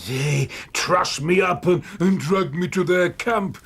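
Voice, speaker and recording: An actor playing a bitter, crippled older ex-soldier telling his story in a radio drama, halting and emotional, with a rasping voice. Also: sits high, fairly bright tone, exaggerated voice. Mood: grim, haunted.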